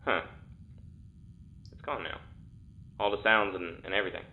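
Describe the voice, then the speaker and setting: An uneasy male test subject in an audio drama. His fairly low voice comes through narrow and phone-like, casual in wording but halting and repetitive. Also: steady tone, creaky, a little hiss or hum. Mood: dazed. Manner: halting, casual, repeating words. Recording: thin, phone-like intercom sound.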